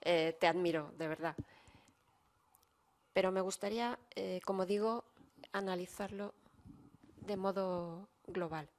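A woman's voice: lowish voice, steady tone, unhurried, pauses often. A woman working as a simultaneous interpreter at a conference, relaying a speaker's words steadily and fluently with small fillers.

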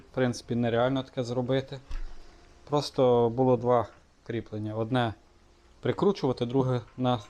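A man giving a relaxed, casual product demonstration, thinking aloud with small hesitations. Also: medium pitch. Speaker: motorcycle vlogger.

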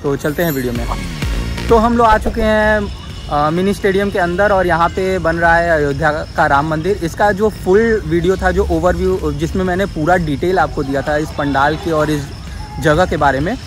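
A young man with a Varanasi Hindi accent chats through a casual walkthrough vlog, his voice sitting slightly high. He records outdoors on a city sports field with a phone held at arm's length. Soft music runs faintly beneath his voice. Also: moderate pace. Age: young adult. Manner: chatty guide pointing things out to viewers. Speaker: vlogger. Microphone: phone camera held at arm's length.

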